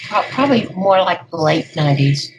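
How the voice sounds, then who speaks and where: An older woman, a guest speaker, talking through an interview in an unhurried, rambling way with plenty of fillers. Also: on the low side, lively intonation, dull audio, crowd noise. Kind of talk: conversational interview.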